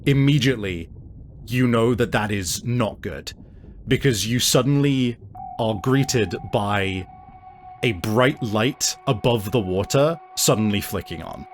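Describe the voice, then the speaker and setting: A man runs a tabletop roleplaying game over clearly audible music. He improvises descriptive, suspenseful narration with a halting delivery, in a mid-pitched voice. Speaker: game master. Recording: music behind the voice.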